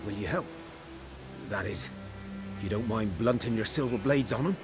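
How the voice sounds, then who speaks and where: A man's voice: fairly low pitch, normal speed, over music, natural voice. An actor playing a wary, plain-spoken village huntsman in a video game, with a folksy, brooding manner. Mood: wary, obliging.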